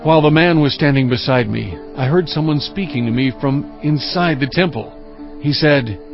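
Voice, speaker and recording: An actor playing a prophet who recounts his vision in measured, solemn, earnest scripture narration. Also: fairly high pitch, natural voice. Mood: awed, solemn. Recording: dull sound over music bed.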